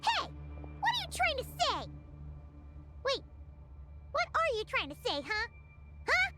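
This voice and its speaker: An excitable little fairy companion chatters in a very high, sing-song voice that leaps up and down. She refers to herself in the third person. Loud game music plays under the game dialogue. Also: slow, full character voice. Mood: indignant. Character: tiny chirpy floating fairy companion girl.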